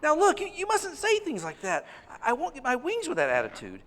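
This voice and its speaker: An actor playing a gentle, fussy elderly male angel in a live radio play on a theater stage, with a chirpy, whimsical manner. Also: very high voice, wildly swooping pitch, brisk, in a large hall, a little hiss or hum, full character voice. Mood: pleading. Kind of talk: 1940s radio acting on stage.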